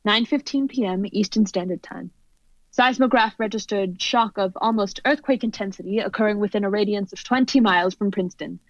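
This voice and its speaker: A woman playing a poised radio news commentator in an old-time radio drama, speaking in a formal, measured announcer style. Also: mid-range voice, dry recording, natural voice. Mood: formal, composed. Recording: dull lo-fi audio.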